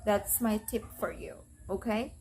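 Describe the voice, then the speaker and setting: A woman's voice: mid-range voice, fairly even intonation, a little slow, darkish tone, slight background noise. A Filipino woman whose first language is Tagalog, giving call center advice in a casual vlog and repeating phrases for emphasis. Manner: repeats phrases for emphasis, says "okay" and "guys" often. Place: unfinished house with rain on the roof.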